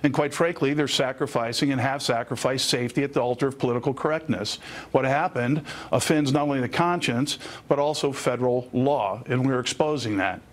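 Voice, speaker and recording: A man with a medium-pitched voice answers as a guest in a news interview. He explains in the measured, matter-of-fact manner of a lawyer setting out a case.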